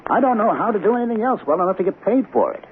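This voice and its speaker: An actor playing a meek, hesitant husband who stammers and defers in an old-time radio drama. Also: male voice, sits high, very animated, rapid-fire. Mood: resigned, defensive. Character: meek, hesitant husband, a loyal working salesman. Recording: noisy, tinny old radio broadcast.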